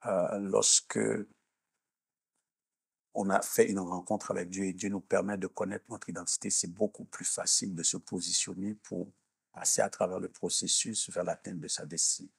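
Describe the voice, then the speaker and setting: Deep, gravelly mature man, deliberate. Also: fairly even intonation, no room sound. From born in Haiti, grew up in Canada. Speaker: minister and executive coach, interview guest. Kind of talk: measured interview talk with preaching explanation.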